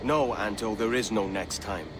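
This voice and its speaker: An actor with a Welsh accent playing a roguish, blunt, self-interested pirate assassin in a video game, brusque and casual in speech. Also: male voice, lowish voice, normal speed, noisy background, natural voice. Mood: blunt, impatient. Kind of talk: dramatic video game acting.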